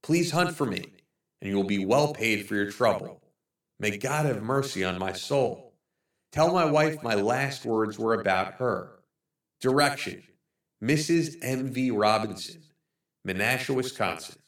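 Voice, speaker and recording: A man voicing a lost, starving man who pleads in a soft, hushed, higher voice. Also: animated, a little slow, reverberant, distant mic. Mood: desperate.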